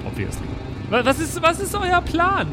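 A woman playing in an improvised pen-and-paper audio play, bantering casually in character in a playful, expressive way. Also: very high-pitched, moderate pace. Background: music and outdoor ambience.

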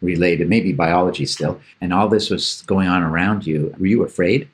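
A man's voice: low voice, normal speed. A man hosting a podcast, asking curious and encouraging questions in a chatty way. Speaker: podcast host. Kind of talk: conversational interview.

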